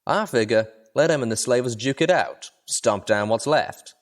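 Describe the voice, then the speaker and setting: A man's voice: medium pitch, normal speed, pitched above the performer's own voice, lightly acted. A man voicing a plain-spoken, resolute male cowboy pegasus with a folksy, matter-of-fact drawl. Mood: blunt.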